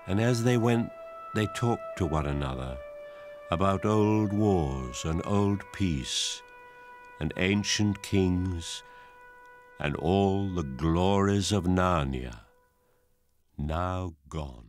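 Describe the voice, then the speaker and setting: A man narrating a radio drama in an unhurried, expressive storyteller's reading. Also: low voice. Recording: studio narration with music beneath.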